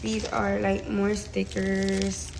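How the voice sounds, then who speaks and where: Excited woman with a gravelly voice unboxing. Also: mid-range voice, slow, heavy background noise. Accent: non-native English accent. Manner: chatty and excited, lots of fillers. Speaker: nail technician. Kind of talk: casual unboxing vlog. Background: loud crinkling and rustling of packaging.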